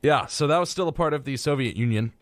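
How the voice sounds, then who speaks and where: A young American man co-hosting a radio show, chatting casually and reading notes with flippant, dark humour. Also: medium pitch, quick pace, no echo. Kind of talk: casual joking chat mixed with reading notes. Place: radio studio.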